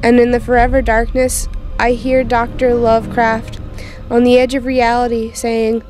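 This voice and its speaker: A woman as a high-voiced, doomed teenage witch. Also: monotone, normal speed, a little mellow, faint music behind, lightly acted. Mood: awed despair. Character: frightened teenage witch girl recounting her doom. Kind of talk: first-person narration in an audio drama.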